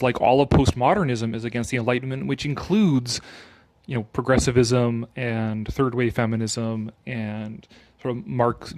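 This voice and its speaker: A man doing a dramatic reading with off-the-cuff commentary, animated and sarcastic in his manner. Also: medium pitch, no echo.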